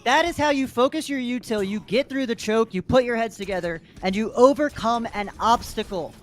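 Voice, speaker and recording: A man with a fairly high voice calls the action of an online esports match, upbeat and quick to get excited. There is a faint hiss under his voice. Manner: energetic, rises to excited shouts in big plays. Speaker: play-by-play caster. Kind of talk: esports commentary.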